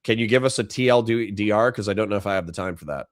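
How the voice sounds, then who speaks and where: A man's voice: on the low side, fast talker, dry recording. A middle-aged man hosting a casual livestream, reading chat messages and thanking supporters in a dry, sincere way with frequent restarts. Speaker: livestream host.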